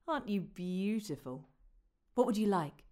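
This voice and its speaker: A woman playing a gentle, courteous young crown prince in a fantasy audio story, polite and playful. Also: medium pitch, animated, unhurried, lightly acted. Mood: gentle, friendly.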